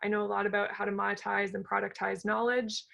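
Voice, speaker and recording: Bright-voiced woman hosting, often creaky. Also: mid-range voice, little pitch movement. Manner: halting, rambling, thinking aloud. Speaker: panel host, entrepreneur. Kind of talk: informal panel moderation.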